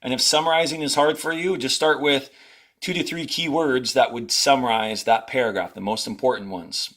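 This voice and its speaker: Male elementary teacher, mid-pitched, giving deliberate instructional read-aloud with short directives for young pupils. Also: fairly even intonation, a bit bright. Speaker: fourth-grade teacher.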